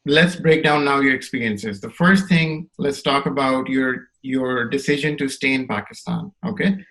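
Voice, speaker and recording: A man's voice: mid-range voice, moderate pace, a bit bright, rough recording. Thoughtful male podcast host. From Saudi Arabia. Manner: thoughtful, builds questions at length, checks in with "right?". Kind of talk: interview questions.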